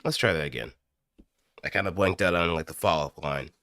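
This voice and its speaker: A man's voice: deep voice, a little fast, a bit bright, dry recording, studio quality. A man chatting casually and self-critically to himself while practicing voice acting on stream. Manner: self-critical, thinking aloud. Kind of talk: casual self-directed chat.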